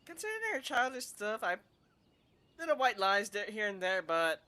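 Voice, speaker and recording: Animated woman streamer with wry asides. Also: mid-range voice, normal speed. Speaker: game streamer. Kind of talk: casual live gameplay commentary.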